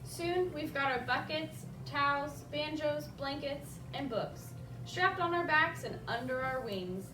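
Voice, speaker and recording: High-voiced woman reading to children, roomy sound. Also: measured pace, noisy background. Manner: expressive, addresses children directly with simple questions. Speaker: children's librarian reading a picture book at story time. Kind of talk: read-aloud rhyming children's story with asides to young listeners. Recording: roomy echo, distant mic, music behind.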